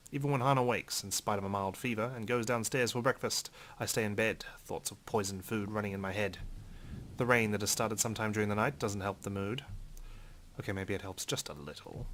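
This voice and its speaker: A man's voice: fairly low pitch, fairly bright tone, slight background noise. A man reading visual novel text aloud as a let's player, with occasional brief commentary.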